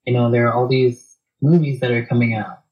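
A soft-spoken man, talking reflectively as an interview guest, hesitant with many fillers and restarts. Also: medium pitch, flat delivery, a little fast, highs cut off.

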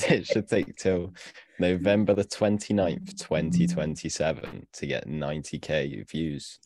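A man's voice: a bass voice, fairly even intonation, unhurried, darkish tone, no room sound. A man in his twenties chatting casually as a podcast co-host. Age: 20s.

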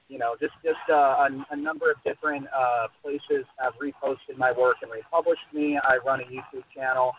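Young American male podcast guest, mid-pitched, hesitant and informal, phone-band voice call with slight noise. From United States. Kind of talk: informal self-introduction. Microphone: online voice call. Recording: noisy, phone-like voice-call audio.

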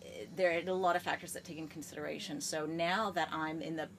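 A woman's voice: low voice, fairly even intonation, quick pace, fairly bright tone, a little hiss or hum. An American woman in her fifties, a guest chatting enthusiastically in a podcast interview, often restarting her phrases. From United States. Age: 50s. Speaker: guest, gerontologist.

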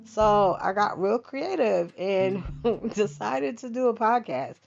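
Woman, podcast host, slow easy delivery, slangy chat, dull lo-fi sound, guitar music behind. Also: medium pitch, lively intonation, gravelly. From Bahamas-born, raised in Philadelphia. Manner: chatty, slangy, lots of fillers and asides. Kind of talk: casual monologue.

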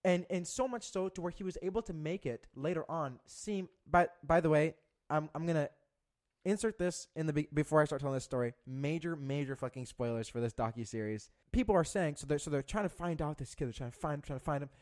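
A man's voice: on the high side, brisk, a little mellow. A young man co-hosting a podcast, telling a story in a rambling, profane way with many restarts. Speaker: podcast co-host. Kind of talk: casual chat.

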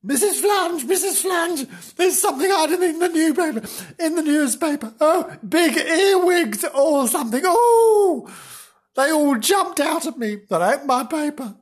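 Storyteller playing a foolish husband, excitable, flustered, high-pitched, repetitive, comic storybook character. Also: unhurried. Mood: panicked. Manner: flustered, exclaiming, repeating words.

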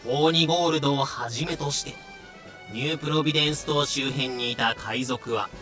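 A man's voice: medium pitch, fairly quick, pushed and bright, soft background music. A man delivering scripted dramatic narration as the storyteller.

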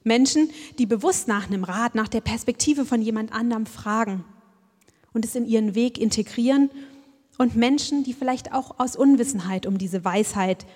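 A woman delivers a sermon in a reflective, personal way and keeps turning to her listeners with questions. Her voice sits fairly high. Long reverb from a church hall trails behind her words. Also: moderate pace, a little mellow. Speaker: preacher.

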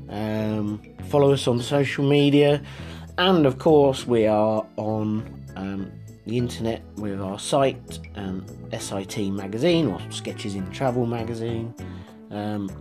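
Husky-voiced man hosting a podcast. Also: medium pitch, darkish tone. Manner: hesitant, with many ums. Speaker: podcast host. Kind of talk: casual podcast chat.

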